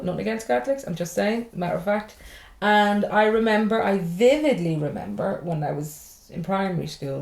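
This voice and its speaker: A woman's voice: mid-range voice, gravelly, fairly bright tone, echoey room. Irish woman comedian, rambling and swearing. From Ireland. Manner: swears freely, rambling and jokey. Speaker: stand-up comedian, podcast guest. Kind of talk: casual podcast chat.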